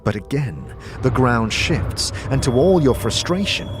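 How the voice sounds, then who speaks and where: A man narrating a scripted audio drama as game master, with measured, suspenseful storytelling addressed to the listener. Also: medium pitch, sing-song, music in the background.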